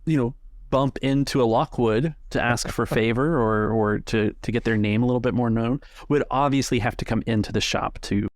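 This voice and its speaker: A man narrating a tabletop game as game master, casually improvising descriptions in a halting way. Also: medium pitch, dry recording, studio quality.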